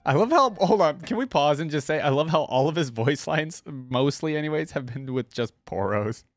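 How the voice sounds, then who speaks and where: A man's voice: on the high side, lively intonation, moderate pace, no room sound, soft background music. A man hosting a reaction video, talking casually and informally with plenty of enthusiasm into a close mic.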